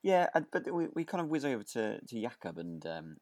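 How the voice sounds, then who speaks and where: A man's voice: mid-range voice, big pitch swings, fairly quick, a little mellow, dry recording, studio quality. A man co-hosting a podcast in casual chat, rambling along in a hedging, chuckly way. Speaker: podcast co-host. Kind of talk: casual chat reviewing a radio drama.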